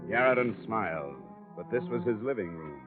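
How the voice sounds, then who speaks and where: An American actor playing a wry, tough investigator with a deadpan, clipped delivery in 1950s radio drama. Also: male voice, lowish voice, normal speed, close to an everyday voice. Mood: brisk narrating. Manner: hard-boiled, deadpan, clipped. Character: wry, tough, dogged American insurance investigator telling his case. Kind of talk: 1950s radio detective acting and narration. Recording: muffled 1950 radio broadcast, music behind.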